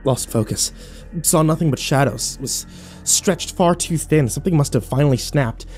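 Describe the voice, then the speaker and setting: A man's voice: a little high, moderate pace, a little mellow, soft background music, natural voice. An actor playing a battered, bitter young man who narrates his nightmare in terse, sardonic, profane fragments for a horror audio drama. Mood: tense, rattled.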